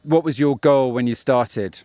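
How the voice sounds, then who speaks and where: A man interviewing pilots for a live broadcast, asking friendly quick follow-up questions. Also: mid-range voice, darkish tone, no echo, studio quality.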